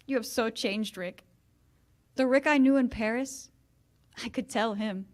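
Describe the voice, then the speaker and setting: A woman's voice: fairly high pitch, a little slow, natural voice. An actress playing a proud, wounded married woman, restrained and earnest, in 1940s-style radio theater. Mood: wistful, resolute.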